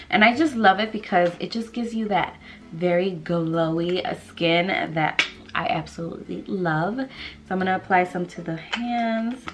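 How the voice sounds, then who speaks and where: A woman's voice: on the low side, a bit bright. A young woman in her twenties with a stuffy nose, chatting casually through a makeup tutorial in a small room, rambling with lots of fillers. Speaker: beauty vlogger. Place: small bedroom vanity indoors.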